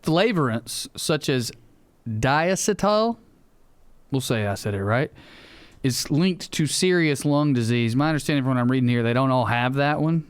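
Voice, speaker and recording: Southern US male co-host, mid-pitched and smooth, wry blunt explainer who swears freely, dry close sound. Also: animated, moderate pace, no room sound. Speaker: podcast co-host. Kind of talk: casual chat, explaining.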